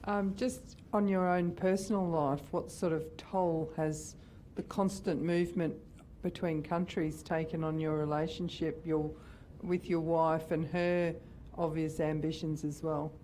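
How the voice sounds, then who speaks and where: A woman's voice: low-pitched, level tone, unhurried, dark tone, slight background noise, low-quality recording. A woman in the audience asking a direct personal question.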